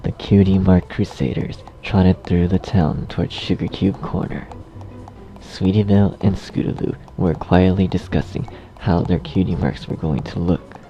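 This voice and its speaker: A man's voice: sits low, fairly even intonation, normal speed, mellow. A man narrating a scripted story, recorded slightly dull. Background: faint music.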